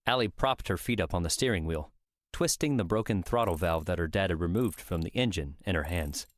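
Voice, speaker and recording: A man's voice: low voice, a little fast. A man reading scripted story narration for an audiobook.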